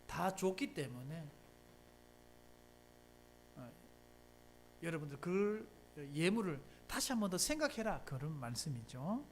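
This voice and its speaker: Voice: mid-range voice, sing-song, a little slow, pauses often, a little hiss or hum. A pastor, preaching a sermon in a church in a conversational, emphatic way and often asking rhetorical questions. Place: church sanctuary.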